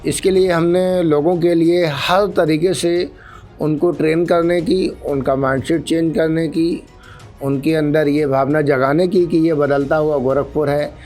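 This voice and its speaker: Male official as interview guest, slightly high voice, earnest explanatory delivery, light music underneath. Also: steady tone, measured pace, a little mellow. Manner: formal, earnest, mixes in English terms. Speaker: senior traffic police officer, interview guest. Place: radio studio.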